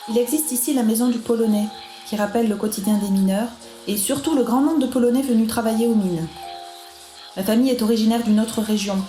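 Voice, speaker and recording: A woman's voice: fairly high pitch, fast, warm tone. A woman reading scripted radio narration in an echoey room, with music behind her.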